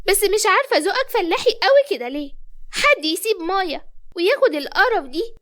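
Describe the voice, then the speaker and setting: Woman doing a very high, spoiled Egyptian girl. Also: big pitch swings, fairly quick, fairly bright tone, exaggerated voice. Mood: scornful, indignant. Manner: whiny, insistent. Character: spoiled, clingy, scornful young woman.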